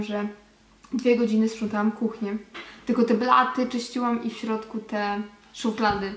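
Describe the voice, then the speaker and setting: A young woman in her twenties from Poland, chatting casually to viewers in a vlog, gushing and full of quick asides, filmed on a handheld camera in her small bedroom. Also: fairly high pitch. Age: 20s. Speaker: vlogger. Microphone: handheld camera held close. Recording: roomy camera audio.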